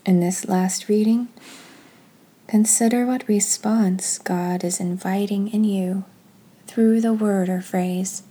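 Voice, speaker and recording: A woman speaks extremely slowly, as if leading a contemplative prayer. Her voice is soft and mellow, with a breathy husk to it. Her delivery stays hushed and unhurried, suited to meditative scripture reading. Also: medium pitch, steady tone. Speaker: guided prayer leader.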